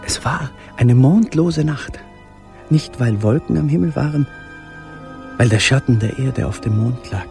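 An actor playing a tender, yearning man who recalls a life in hiding in a measured, lyrical radio drama monologue. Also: mid-range voice, vocal fry, a little mellow. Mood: tender, reflective. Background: music.

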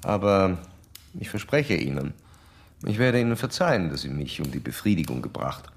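A man acting in a radio drama as a stern, self-assured psychoanalyst who lectures in formal, old-fashioned, measured diction. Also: low voice, sing-song, slightly rough, exaggerated voice. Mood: smug, lecturing.

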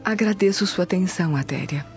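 Woman playing low-voiced, worried Roman noblewoman. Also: a little fast, a little mellow, faint music behind, close to an everyday voice. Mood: subdued worry. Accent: Brazilian. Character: gentle, worried noble Roman lady, trusting. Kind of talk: radio drama acting. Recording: dull, muffled studio track.

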